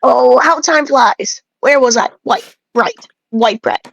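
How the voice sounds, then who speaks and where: A woman's voice: high voice, takes it slowly, gravelly, dry recording, heavily acted. A woman reading aloud as an opinionated, folksy male bread enthusiast who rambles and grumbles through game dialogue. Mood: grumbling, rambling.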